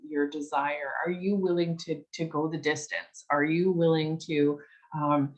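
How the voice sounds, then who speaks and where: A woman leading a spiritual new moon circle, a little croaky from a cold, talking gently with many "um"s and restarts. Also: fairly low pitch. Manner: gentle, reflective, with frequent "um" and restarts. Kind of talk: casual workshop talk and reading aloud.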